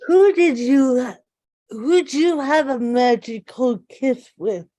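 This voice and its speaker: A woman's voice: high voice, slow pace, warm tone, no room sound, pitched above the performer's own voice. A woman voicing a nosy, demanding young bar waitress in a table read, with a drawling manner. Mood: nosy, curious.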